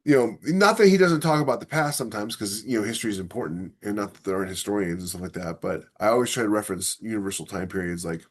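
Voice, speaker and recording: A man's voice: lowish voice, fast talker, few pauses. A man talking casually as a podcast co-host and author, opinionated and fond of illustrating his points with mock quotes.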